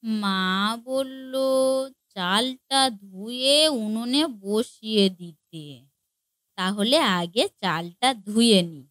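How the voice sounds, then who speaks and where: A woman's voice: medium pitch, a bit bright, no room sound. A woman voicing a naive, dim-witted young daughter-in-law in a comedy cartoon, talking in an innocent, hesitant, literal way. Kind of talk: Bengali comedy cartoon dialogue.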